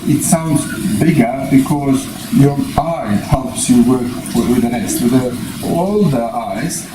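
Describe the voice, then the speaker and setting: Man in his fifties, deliberate lecturer, mid-pitched voice, short explanatory phrases, distant mic, noisy room. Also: reverberant. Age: 50s. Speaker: presenter, eye surgery specialist. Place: small indoor meeting room at a lectern. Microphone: distant camera microphone.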